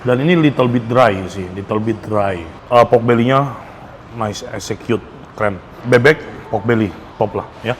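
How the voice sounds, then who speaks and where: A man's voice: on the low side, unhurried. A man with a Jakarta Indonesian accent gives a casual, enthusiastic food review, speaking slangily and mixing in English phrases. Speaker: food vlogger. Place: restaurant. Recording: clear background noise, restaurant ambience.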